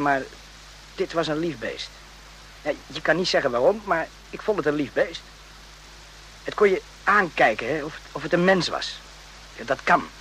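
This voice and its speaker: A calm, philosophical man in a radio drama, with a fairly high voice. He talks plainly and soothingly, like a measured storyteller. There is faint noise on the recording. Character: calm, reflective male companion, gently philosophical storyteller. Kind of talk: Dutch radio play acting. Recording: slightly noisy old radio recording.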